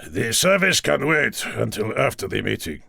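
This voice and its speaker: A man's voice: mid-range voice, sing-song, normal speed, gravelly, sharp tone, husky, no room sound, studio quality, heavily acted. A man voicing a blunt Argentinian police chief with an Argentinian accent, curt and impatient.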